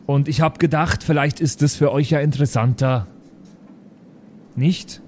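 A man's voice: on the high side, steady tone, unhurried, a little mellow, lightly acted. A man voicing a patient but muddled schoolteacher, explaining and questioning hesitantly. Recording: faint background noise.

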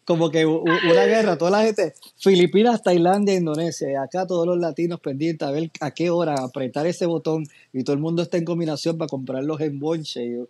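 A man with a Puerto Rican accent, talking as a pageant expert on a chat show, animated and enthusiastic in quick bursts. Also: on the high side. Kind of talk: casual talk-show chat.

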